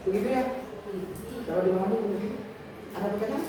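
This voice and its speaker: A man's voice: high-pitched, quick pace. Male schoolteacher in a very echoey classroom. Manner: interactive, frequently questioning the pupils. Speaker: primary school teacher. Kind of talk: classroom teaching and story read-aloud. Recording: distant, echoey, noisy classroom recording.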